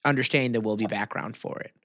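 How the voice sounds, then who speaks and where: A man's voice: mid-range voice, fairly even intonation, fast, fairly bright tone, no echo, studio quality. A man chatting casually as a podcast co-host, slangy and full of questions. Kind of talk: casual chat.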